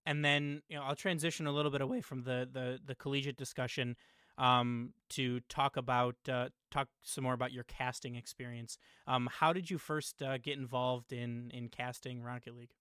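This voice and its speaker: A man hosts a casual interview and asks questions with hesitant starts and fillers. His voice is smooth and resonant, and the sound is dry and close. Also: mid-range voice, steady tone, moderate pace, fairly bright tone, no room sound.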